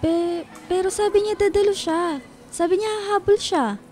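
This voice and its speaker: High-pitched, hurt young schoolgirl. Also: steady tone, moderate pace, darkish tone, faint music behind. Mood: hesitant, uneasy. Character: hurt, resentful schoolgirl daughter. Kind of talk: Filipino radio drama acting.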